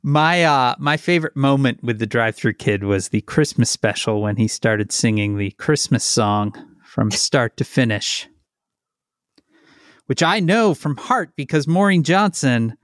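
A man co-hosts a casual podcast chat and reads listener questions aloud. He talks at a slow, easygoing pace with a mid pitch. The sound is dry and close. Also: expressive, a bit bright, no echo. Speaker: podcast co-host.

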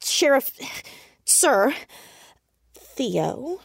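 Flustered, high-voiced, stammering teenage girl. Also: sing-song, drawn-out words, creaky, exaggerated voice. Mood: nervous, awkward. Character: anxious, defensive, bookish fourteen-year-old girl amateur sleuth. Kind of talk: naturalistic audio drama acting.

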